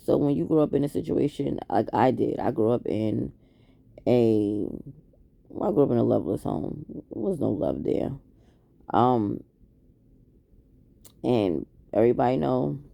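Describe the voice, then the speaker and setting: Gravelly New woman, hesitant. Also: a bass voice, mellow, no echo. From Queens, New York. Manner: hesitant and reflective, many ums and restarts. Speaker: vlogger. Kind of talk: candid late-night confessional talk to camera.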